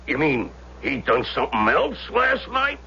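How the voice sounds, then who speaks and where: An actor playing a chatty, streetwise gas station owner with folksy, colloquial talk in a 1970s radio drama. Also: male voice, on the high side, huge pitch swings, unhurried, gravelly, exaggerated voice. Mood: chatty, eager. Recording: dull, noisy old radio recording with hum.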